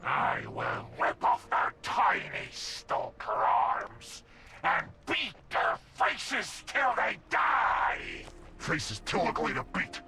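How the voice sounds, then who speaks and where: High, creaky, savage ghoul brute. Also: male voice, very animated, slow pace, pauses often, sharp tone, faint music behind, exaggerated voice. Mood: savage taunting. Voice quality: creaky, harsh. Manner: ranting, taunting in broken grammar. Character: savage, boastful, stalker-hating ghoul brute. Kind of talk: video game character acting.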